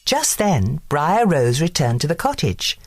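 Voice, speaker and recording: A woman reads a bedtime story for children in a low voice. Her delivery is expressive and very animated, rising and falling freely. Music plays under her narration. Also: a little slow, dry recording. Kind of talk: scripted children's bedtime story read-aloud.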